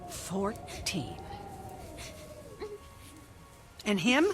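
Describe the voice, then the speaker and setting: A woman's voice: medium pitch, huge pitch swings, slow pace, loud music behind the voice. An actress playing a middle-aged mother who lives alone, curt and easily flustered but kindly underneath, in a naturalistic screen drama. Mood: reassuring.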